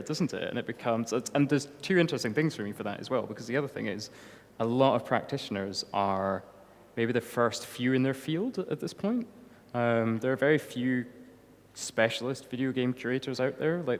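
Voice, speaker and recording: A man on a festival panel, engaged and quick, raising questions for the others. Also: mid-range voice. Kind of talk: casual panel talk. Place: festival talk hall. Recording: long hall reverb.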